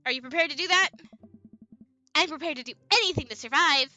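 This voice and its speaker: A woman voicing an excitable, playful make-believer with a melodramatic, exclaiming manner. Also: sits high, fairly quick, soft background music, voice pushed up. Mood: playful, dramatic. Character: excitable make-believer playing ghost truckers, dramatic, playful.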